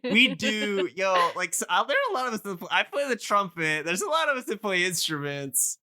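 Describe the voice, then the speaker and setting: A man talking casually and enthusiastically as a podcast guest, explaining things in a rambling, halting way. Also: high voice, sing-song, sharp tone, no echo, clean studio sound. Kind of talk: casual, enthusiastic info-dumping podcast chat.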